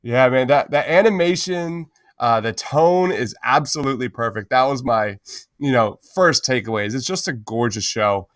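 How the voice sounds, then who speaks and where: A man's voice: mid-range voice, normal speed, fairly bright tone, no room sound, clean studio sound. A man hosting a casual pop-culture podcast, talking informally and enthusiastically with plenty of slang. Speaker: podcast host.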